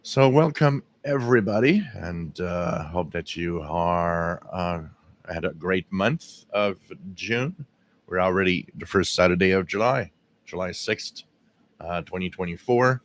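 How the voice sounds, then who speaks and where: A man from Quebec, Canada, hosting a casual live radio-hobby stream with a scratchy, hoarse voice, rambling with lots of fillers, recorded on a directional mic at home. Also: deep voice, lively intonation, measured pace. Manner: rambling, many fillers and restarts. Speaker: radio hobby livestream host. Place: home radio room with air conditioning running. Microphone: directional microphone.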